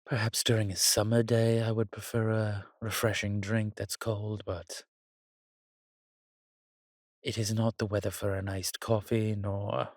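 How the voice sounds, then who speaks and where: An actor playing a weary, wry, courtly vampire in a roleplay audio drama, speaking haltingly to the listener in a refined, slightly pompous manner. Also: male voice, lowish voice, little pitch movement, no echo, clean studio sound. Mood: dry, genteel. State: weakened, recovering.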